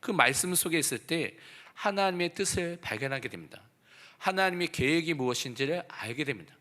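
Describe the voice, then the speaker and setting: A man preaching a church sermon as pastor, exhorting emphatically in a voice hoarse from a throat cold. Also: on the high side, expressive, a little fast, pushed and bright. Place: church service.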